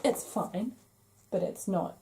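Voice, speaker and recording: Mellow-voiced, enthusiastic woman craft podcaster. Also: mid-range voice, slow, creaky, slight background noise. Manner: enthusiastic, gushing. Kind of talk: casual vlog chat, showing craft magazines.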